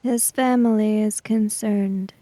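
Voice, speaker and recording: A woman's voice: mid-range voice, steady tone, slow pace, dark tone, no room sound, low-quality recording. A woman playing a tabletop roleplaying game, speaking and narrating for her character. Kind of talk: roleplay with third-person narration.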